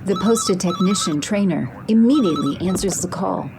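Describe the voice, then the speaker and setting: A woman's voice: lowish voice, animated, moderate pace, vocal fry, noisy background. A woman reading scripted third-person narration for an audio drama.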